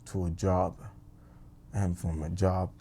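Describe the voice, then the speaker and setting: Man, deep gravelly voice, slow, earnest. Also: little pitch movement, warm tone. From Dominican Republic. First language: Spanish. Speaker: college student.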